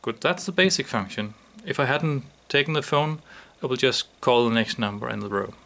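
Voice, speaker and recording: Gravelly man demonstrating a product. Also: medium pitch, moderate pace, a bit bright.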